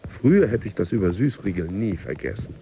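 Brooding man with wide, gravelly intonation. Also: deep voice, big pitch swings, a little fast, mellow, slight background noise. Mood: wry. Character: stressed office man sent to workplace fitness coaching. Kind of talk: radio play monologue, fragmented inner narration.

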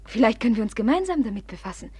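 An actress in a 1950s radio play playing a proud, impulsive young noblewoman who flares up imperiously and then drops to a hush. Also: a little high, big pitch swings, rapid-fire, husky, no echo, full character voice. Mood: earnest, fervent.

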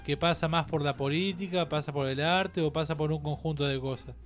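A man with a Rioplatense Spanish accent interviewing informally on radio, halting and circling back over his phrases. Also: fairly high pitch, faint music behind.

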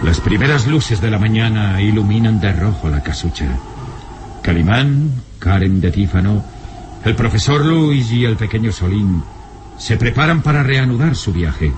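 A man's voice: fairly low pitch, expressive, moderate pace, background noise, close to an everyday voice. An actor as a suspenseful narrator of a radio serial, formal and emphatic in his dramatic phrasing.

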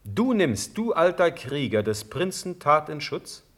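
Commanding prince, swooping declamatory delivery. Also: male voice, fairly high pitch, sing-song, unhurried. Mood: stern, probing. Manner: declamatory, commanding, sharp questioning. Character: commanding male prince-elector, imperious, probing sovereign. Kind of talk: classical German stage verse radio drama.

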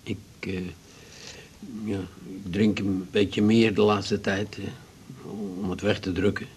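An actor playing a meek, grieving widower in a 1980s radio drama, halting and low-spirited, with a gravelly, husky voice. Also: male voice, little pitch movement, a little mellow, slight background noise. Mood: hesitant, sad. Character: grieving, hesitant widower who drinks, meek and wounded.

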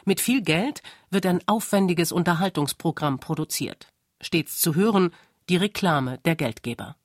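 Documentary narrator, medium pitch, scripted delivery, very dry studio sound. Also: expressive, moderate pace, no room sound.

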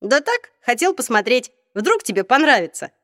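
Спокойный ироничный мальчик-вампир в озвучке чтицы, голос ниже обычного, сухой звук. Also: female voice, a little high, big pitch swings, normal speed, a bit bright, dry recording, clean studio sound. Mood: wry. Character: teenage vampire boy, calm and wry. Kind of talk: audiobook reading.

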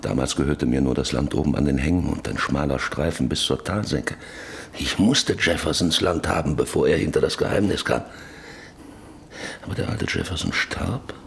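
An actor in a German radio drama playing an old, lonely rancher who broods bitterly over his life in halting, repetitive phrases. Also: very deep voice, vocal fry, slight background noise. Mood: bitter, remembering. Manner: brooding, halting, repeating words.

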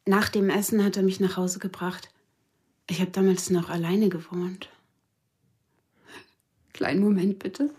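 A grieving witness in a radio crime drama. Her voice is husky and sits at a middling pitch. She recalls her lost lover haltingly, searching for words. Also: little pitch movement, a little mellow. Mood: halting, upset. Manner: halting, hesitant recollection. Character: grieving woman, a dead man's former lover, questioned as witness.

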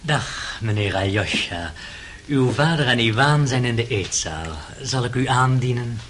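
An actor playing a sly, insolent servant cook in a radio drama, politely servile and smugly pedantic. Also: male voice, medium pitch, lively intonation, measured pace, music in the background, close to an everyday voice. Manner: servile politeness over smug argument.

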